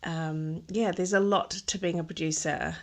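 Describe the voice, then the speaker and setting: A woman in her thirties with a low voice. Her speech has a gravelly, creaky edge. She talks about her working life in an unhurried way, pausing on ums as she thinks. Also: fairly even intonation. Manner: thoughtful, many ums and restarts. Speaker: podcast guest, textile designer. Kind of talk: casual interview conversation.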